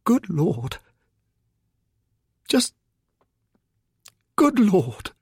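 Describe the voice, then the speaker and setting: A man voicing a humble, emotional old-fashioned gentleman in a storybook, with a faltering, genteel manner. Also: mid-range voice, sing-song, a little slow, breathy edge, no room sound, clean studio sound, pitched above the performer's own voice. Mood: astonished.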